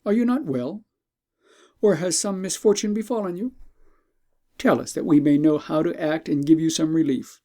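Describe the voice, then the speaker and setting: A man's voice: a little high, moderate pace, long pauses, warm tone, lightly acted. A man voicing a protective young prince in a storybook, speaking formally and with pressing, earnest concern. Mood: concerned, insistent.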